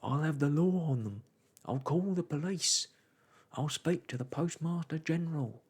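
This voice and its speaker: A man's voice: fairly high pitch, normal speed, a little mellow, husky, voice pushed up. A storyteller voicing a panicky, indignant postman who wails frantic protests, in an audiobook.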